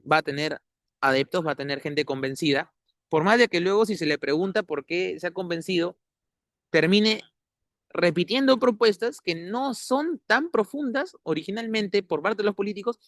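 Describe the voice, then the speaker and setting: A man, a guest giving measured, academic analysis, often ending points with tag questions. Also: a little high, fairly bright tone, no echo, studio quality. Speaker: interview guest. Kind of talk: analytical conversational commentary.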